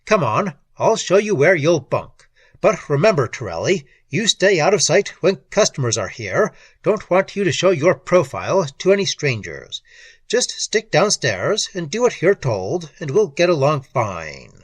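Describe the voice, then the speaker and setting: A man voicing a gruff, bullying crime boss in a story reading, with a husky voice and a barking, bossy delivery. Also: a little high, sing-song, measured pace, dry recording, full character voice. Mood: bossy, brusque. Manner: barking, domineering.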